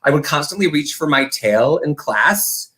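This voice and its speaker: A man's voice: fairly high pitch, lively intonation, a little slow, sharp tone. Chatty man in casual interview. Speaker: guest, community theater director.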